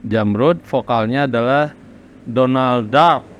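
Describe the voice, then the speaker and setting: A man from Indonesia, a rock singer, casually recalling his career in an interview in a halting, reflective way. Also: medium pitch, muffled recording, slight background noise. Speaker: rock vocalist being interviewed. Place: studio workroom.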